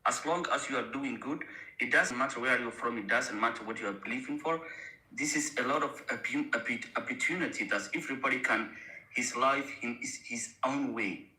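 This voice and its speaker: A Somali man who grew up in Austria, earnestly giving his opinion in halting during a debate. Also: medium pitch, pushed and bright. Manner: halting non-native phrasing, earnest. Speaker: debate panellist. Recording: echoey room sound.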